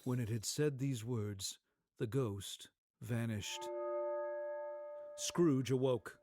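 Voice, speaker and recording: A man narrating a story as a scripted dramatic reading, with deliberate, theatrical phrasing. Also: mid-range voice, loud music behind the voice.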